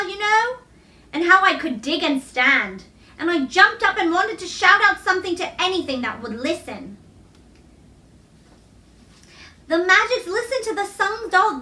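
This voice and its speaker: A woman voicing an earnest, excitable young boy in a children's storybook, with an eager, wondering way of talking. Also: very high voice, voice pushed up, exaggerated voice. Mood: joyful, wondering. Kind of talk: children's storybook read-aloud. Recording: roomy echo, distant microphone.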